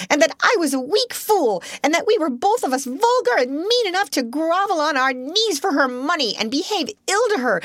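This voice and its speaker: Casting: a woman reading a timid middle-aged schoolmistress, very high and shrill, tearful outbursts, dry close sound. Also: fairly bright tone, no echo, full character voice.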